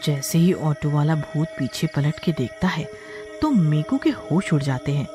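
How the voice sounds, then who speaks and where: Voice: medium pitch, expressive, measured pace. A narrator reading a scripted horror story for a cartoon. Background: background music.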